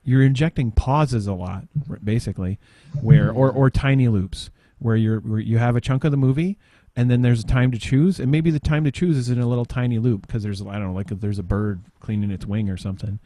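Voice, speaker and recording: Dry-sounding man, halting and chatty. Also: medium pitch, steady tone, dark tone, dry recording. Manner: rambling, halting explanations with trailing pauses. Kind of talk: casual podcast chat.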